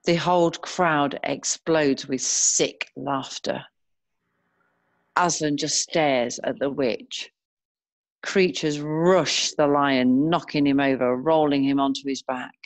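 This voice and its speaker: A woman narrating a screenplay, reading scene headings and stage directions in a measured way. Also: sits low, fairly even intonation, no echo.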